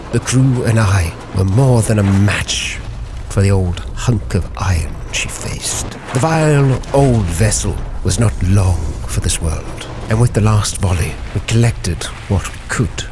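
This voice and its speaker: A man playing a grave, driven Victorian sea captain, solemnly narrating his log with theatrical, weighty pauses over music. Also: fairly low pitch. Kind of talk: dramatic scripted storytelling, ship's log in character.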